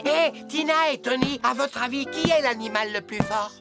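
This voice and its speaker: A woman voicing a silly, fretful young male piglet in a children's cartoon, exclamatory and a bit whiny, with a creaky voice. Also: high-pitched, moderate pace. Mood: curious. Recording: loud music behind the voice.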